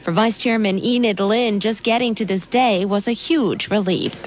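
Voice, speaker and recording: A woman's voice: mid-range voice, moderate pace, slight background noise. A woman with an American accent delivering a scripted radio news report.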